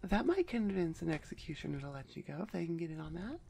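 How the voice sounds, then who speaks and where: A woman's voice: fairly low pitch, very animated, fast, vocal fry, mellow, slight background noise. A woman audio roleplay creator chatting casually to her listeners up close on the mic, in a rambling, wry, self-deprecating way. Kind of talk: casual outro chat to listeners. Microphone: close microphone.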